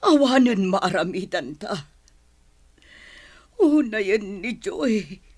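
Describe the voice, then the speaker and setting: A woman's voice: a little high, slightly rough, full character voice. A woman with an Ilocano accent playing an exasperated, worried village mother in a radio comedy drama.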